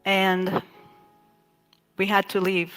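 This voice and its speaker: Woman from iraq telling her life story really slowly, calm, soft music behind. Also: mid-range voice. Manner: measured, reflective, pausing often. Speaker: speaker giving a talk. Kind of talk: slow personal storytelling talk.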